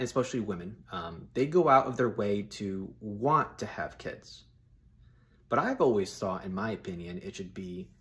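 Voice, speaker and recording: Low-voiced man in his thirties, casual chat. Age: 30s. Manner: informal, blunt, swearing, buddy-like address. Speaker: solo video host giving personal opinions.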